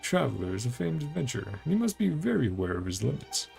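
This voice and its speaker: A grandiose treasure hunter from a video game, acted out by a man, declaiming in florid, courtly phrases. The voice is very gravelly and swings expressively up and down, eager and flattering, with music playing underneath. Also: medium pitch, fast. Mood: gallant, flattering. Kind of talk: game dialogue read aloud in character.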